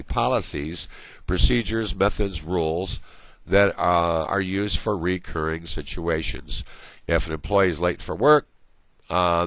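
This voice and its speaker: A man's voice: low voice, a bit bright, no room sound. A man giving a recorded college management lecture over slides, conversational and full of everyday examples, while he is losing his voice.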